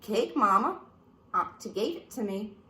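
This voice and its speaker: A meek, simple young boy possum in a storybook, as a woman reading aloud puts him on. He answers quietly and obediently, in a low, soft voice. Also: animated, measured pace, roomy sound.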